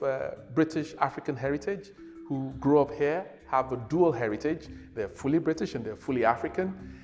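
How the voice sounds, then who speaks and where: A middle-aged man, a native Yoruba speaker, explaining his children's group in a relaxed, casual way on a lapel mic. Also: medium pitch, long reverb tail. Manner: relaxed, gesturing, uses fillers. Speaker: organiser of a children's heritage group.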